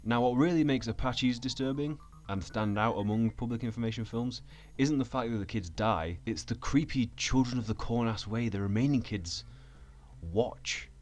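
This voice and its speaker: A man giving sardonic, scripted video commentary in a deadpan, sweary manner. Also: medium pitch, moderate pace. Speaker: video essayist. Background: background music.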